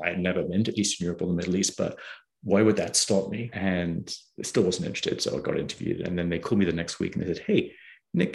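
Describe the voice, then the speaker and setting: A man from the UK, a guest in a casual interview, telling his career story with lively acted-out quotes. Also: sits low, creaky, poor audio. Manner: animated storyteller, acts out quoted dialogue.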